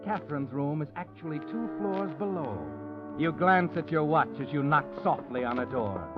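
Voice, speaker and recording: A man's voice: a little high, normal speed. Ominous radio drama narrator, man. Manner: ominous, insinuating. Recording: dull old radio recording with music.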